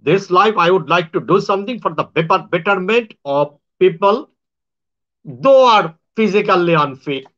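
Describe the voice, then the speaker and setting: Halting Bangladeshi man, high voice. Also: animated, a bit bright, muffled recording. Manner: halting, repetitive phrasing with frequent restarts. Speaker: astrologer and self-styled spiritual speaker. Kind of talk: monologue to viewers.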